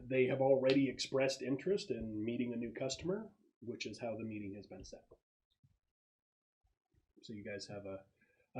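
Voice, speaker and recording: A man's voice: mid-range voice, rough recording. A man acting as game master, explaining the scene to players in a casual, conversational way.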